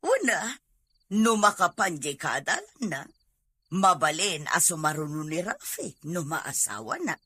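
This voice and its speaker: A woman playing a hopeful, scheming middle-aged mother in an audio drama, with a husky voice. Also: fairly low pitch, big pitch swings, a little slow, pushed and bright, full character voice. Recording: faint music under the voice.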